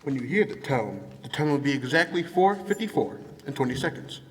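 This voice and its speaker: A man's voice: mid-range voice, lively intonation, fairly quick, natural voice. A man playing an impersonal recorded telephone time announcement in a live stage radio drama. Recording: echoey hall with clear noise.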